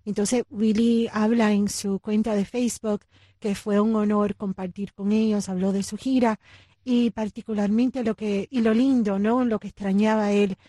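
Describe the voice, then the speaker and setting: A woman's voice: medium pitch, steady tone, normal speed, dark tone, no echo. A woman with a Cuban Spanish accent co-hosting a radio show, telling stories in casual banter and laughing often. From United States. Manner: chatty storyteller, laughs often.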